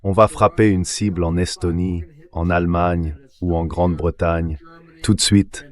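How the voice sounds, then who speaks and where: A man delivering dubbed political commentary as an interview guest, reasoning in a measured way and posing rhetorical questions. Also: low voice, fairly even intonation.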